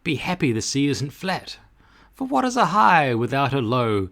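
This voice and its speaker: A man's voice: medium pitch, sing-song, normal speed, gravelly, a bit bright, voice pushed up. Man voicing a soothing mermaid, lilting. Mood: reassuring. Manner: murmuring, soothing. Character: gentle, encouraging young mermaid.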